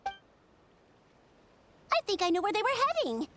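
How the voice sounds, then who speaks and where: A woman's voice: very high voice, wildly swooping pitch, slow, slight background noise, heavily acted. A woman voicing an earnest, polite, methodical young woman investigator in a video game. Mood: pondering. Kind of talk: animated video game voice acting.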